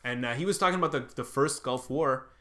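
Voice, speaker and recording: A man's voice: mid-range voice, fast talker, fairly bright tone. A man born in Lebanon, a comedian co-hosting a casual chat, rambling and swearing with self-deprecating jokes. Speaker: comedian and podcast co-host.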